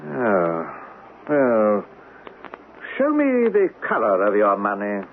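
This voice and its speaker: An actor playing a greedy, suspicious bully with a gruff, contemptuous manner, in old-time radio drama style. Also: male voice, on the low side, wildly swooping pitch, slow, phone-quality sound, exaggerated voice. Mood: greedy, wary. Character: greedy, suspicious, bullying man guarding a treasure. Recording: thin, noisy vintage radio recording.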